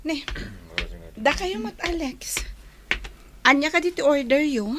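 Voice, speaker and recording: A polite, puzzled village eatery keeper in a radio drama, with an Ilocano accent. Her high voice swoops expressively and takes its time, full of questions. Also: lively intonation, slow, fairly bright tone, slight background noise, exaggerated voice.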